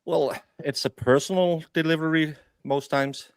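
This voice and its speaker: A man with a Danish accent, the guest baker, who talks in a deadpan, hesitant way. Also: on the high side, unhurried, clear, resonant tone, no room sound.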